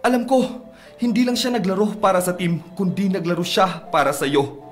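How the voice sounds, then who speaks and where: A man's voice: high voice, lively intonation, normal speed, soft background music. A man playing an encouraging, earnest volleyball coach in a radio drama, emphatic and persuasive.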